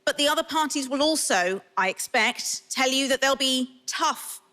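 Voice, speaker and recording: A woman's voice: sits high, animated, moderate pace, bright, forceful tone. A woman politician making her case in a televised political debate. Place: radio theatre stage.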